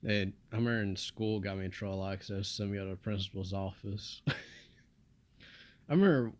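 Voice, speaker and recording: A young man from the United States rambling in a flat, monotone voice with mumbled asides. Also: on the low side, fairly quick. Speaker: vlogger. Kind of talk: casual rambling monologue.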